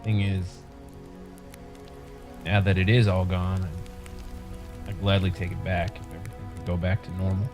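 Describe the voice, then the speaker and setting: A man's voice: sits low, flat delivery, normal speed, pauses often. A man playing a young, regretful, down-on-his-luck survivor in a drama table read. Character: young, broke, regretful former pizza delivery boy. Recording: video-call read over music.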